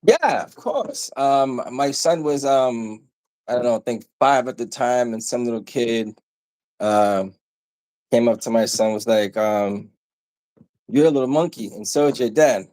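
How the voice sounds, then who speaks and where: A man's voice: medium pitch, animated, unhurried, a little mellow, rough recording. A man from Haiti who is a guest on a casual panel talk show, blunt, telling stories and acting out his own quotes.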